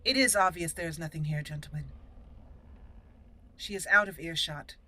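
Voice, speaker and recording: A woman's voice: sits low, normal speed, bright, forceful tone. A woman roleplaying a proud young elf mage noble who speaks formally. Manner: formal, stately phrasing.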